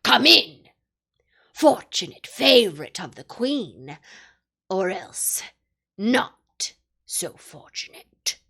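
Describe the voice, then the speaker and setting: Storybook wolf guard, male, huge, growling and menacing, done by a woman reader; bright tone. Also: very animated, very slow, creaky, pauses often, slightly rough, exaggerated voice. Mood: mocking. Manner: low growling threats.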